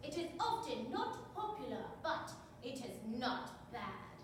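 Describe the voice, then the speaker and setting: A woman's voice: sits high, very animated, a little slow. A woman on stage playing a fervent, self-righteous authoritarian witness who declaims to the room. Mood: fervent, indignant. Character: fervent authoritarian banned book on the witness stand. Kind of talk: live stage readers theater. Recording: distant mic, echoey room, faint noise.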